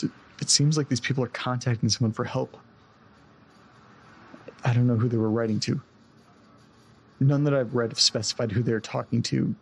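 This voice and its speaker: An actor playing an anxious man who tried to burn his haunted house down, talking in halting, repeated bursts. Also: mid-range voice, vocal fry, darkish tone, a little hiss or hum, close to an everyday voice. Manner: shaken, halting, repeats words. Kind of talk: audio drama acting.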